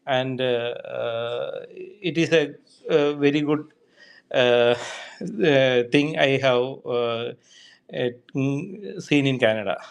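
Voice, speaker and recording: A man India speaks in a smooth, clear voice at a middle pitch. He introduces himself haltingly, pausing on frequent fillers. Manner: very hesitant, many fillers. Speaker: meetup participant, job seeker. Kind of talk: self-introduction.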